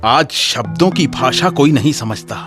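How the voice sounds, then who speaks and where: A man's voice: mid-range voice, lively intonation, unhurried, over music, natural voice. A man acting a defiant young student. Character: defiant, hot-headed young male student, wounded and bitter. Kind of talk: radio drama acting.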